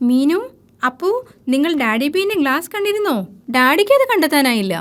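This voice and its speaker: A woman voicing a caring, practical mother in a children's cartoon, with an expressive delivery. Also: high voice, brisk. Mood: concerned.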